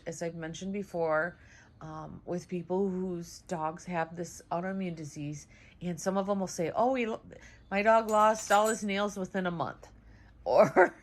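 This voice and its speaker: A woman with a stuffy, nasal voice from congestion, chatting casually in a home vlog about her dogs, with many "um"s. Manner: high sing-song baby talk to her dogs. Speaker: vlogger, dog owner. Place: home, indoors.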